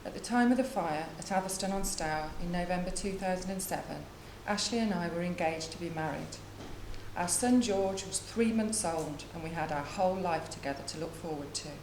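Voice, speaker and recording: A woman's voice: lowish voice, normal speed. A young woman, a bereaved partner, reading out a prepared personal statement at a press briefing. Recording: echoey room with clear background noise.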